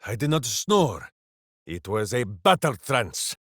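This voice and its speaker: A man's voice: medium pitch, very animated, takes it slowly, a bit bright, husky, dry recording, studio quality, heavily acted. A man voicing a big-hearted, simple-minded heroic warrior in a video game, bombastic and earnest, speaking of himself in the third person. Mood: earnest, puzzled. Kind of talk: video game character voice acting.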